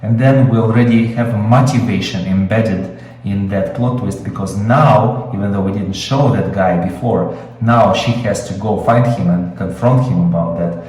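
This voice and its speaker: A man's voice: fairly low pitch, dark tone, heavy reverb, far from the mic, low-quality recording. A man with a Russian accent, Russian his first language, teaching screenwriting in an improvised webinar, explaining with many fillers and restarts. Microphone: video call.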